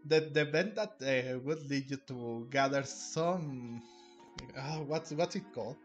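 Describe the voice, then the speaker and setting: A man doing casual let's-play commentary, thinking aloud in a halting way. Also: mid-range voice. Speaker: gaming streamer. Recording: game music behind the voice.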